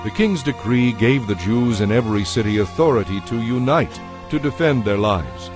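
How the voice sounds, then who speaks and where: Measured male narrator over music. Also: mid-range voice, lively intonation. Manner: measured, formal storytelling. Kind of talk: scripted audio Bible narration.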